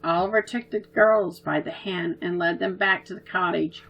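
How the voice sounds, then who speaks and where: A woman reading a children's story aloud in a gentle, expressive way. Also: on the low side, normal speed, gravelly, muffled recording, faint music behind.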